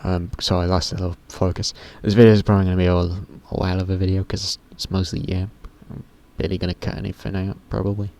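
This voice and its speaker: A teenage boy with a very deep voice, rambling casually to camera in a vlog, often stopping himself mid-sentence and swearing offhandedly. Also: flat delivery, quick pace, hardly any pauses, dark tone. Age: teens. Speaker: YouTuber.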